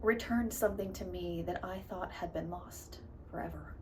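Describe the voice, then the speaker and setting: A woman delivering a theatrical dramatic monologue in an emotive, emphatic way, swinging between soft and loud. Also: lowish voice, normal speed. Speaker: actor performing monologues. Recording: distant mic with clear background noise.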